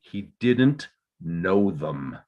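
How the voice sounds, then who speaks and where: A man's voice: deep voice, sing-song, drawn-out words, lo-fi sound, full character voice. A man playing a defensive, guilt-ridden father in a dramatic script read, terse and insistent. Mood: stubborn.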